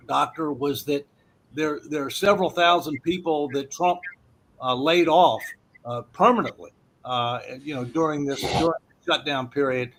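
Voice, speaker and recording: A man's voice: fairly high pitch, a bit bright, rough recording. A man hosting an economics forum, explaining policy effects with frequent hesitations and "okay" checks.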